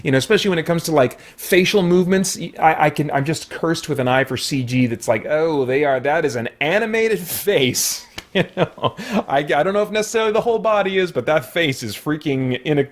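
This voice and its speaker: A man's voice: on the high side, expressive, fairly quick. A man giving a casual, unscripted movie review in a conversational way, often searching for words.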